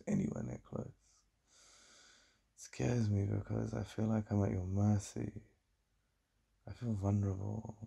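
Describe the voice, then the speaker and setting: A British man playing a besotted, yearning boyfriend in an intimate ASMR roleplay, confiding in a breathy murmur. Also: warm tone, exaggerated voice. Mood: vulnerable. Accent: British English. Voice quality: deep, breathy, gravelly.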